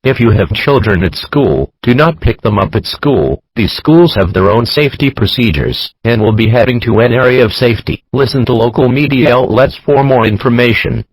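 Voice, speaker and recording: A man's synthetic voice reading a scripted emergency broadcast announcement in a formal, flat manner. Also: mid-range voice, normal speed, hardly any pauses, husky, no room sound, poor audio.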